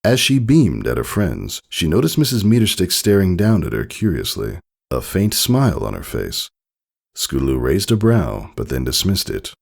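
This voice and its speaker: A man reading a story aloud in expressive scripted narration. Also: sits low, moderate pace, vocal fry.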